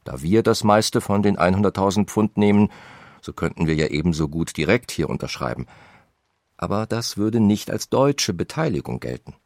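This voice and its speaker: A nineteenth-century industrialist pressing his brother by letter. The voice is very deep yet swings widely in pitch as he urges. It sits dry and close, with no room around it. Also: lively intonation, moderate pace, darkish tone, no room sound. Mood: urging. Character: German industrialist brother writing urgent business letters. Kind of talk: reading historical letters in a radio feature.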